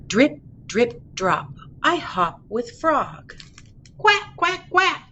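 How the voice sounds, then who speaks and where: A woman plays a playful little storybook duckling with a bright, animated voice. The duckling speaks in bouncy rhymes and quacks that swoop up and down, with some noise behind. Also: high-pitched, drawn-out words, highs cut off, voice pushed up, full character voice. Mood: happy, excited. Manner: bouncy, quacking, sing-song rhyming. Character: cheerful, playful little duckling from a picture book.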